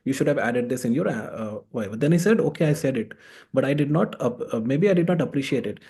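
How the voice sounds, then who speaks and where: A man's voice: mid-range voice, animated, fast talker, dark tone, breathy edge. A man hosting a conversational interview as a course mentor, giving exam advice and repeating his key points with emphasis.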